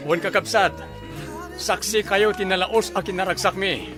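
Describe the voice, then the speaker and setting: A man's voice: high voice, fairly even intonation, moderate pace, close to an everyday voice. A man with an Ilocano accent playing an emotional husband and father in a radio drama. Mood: happy. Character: remorseful, defensive Filipino husband and father Jojo. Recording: soft music bed behind.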